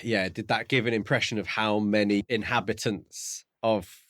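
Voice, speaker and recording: A man's voice: fairly low pitch, steady tone, normal speed, a bit bright, no room sound, clean studio sound. A man co-hosting a podcast in a casual discussion about a book. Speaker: podcast co-host.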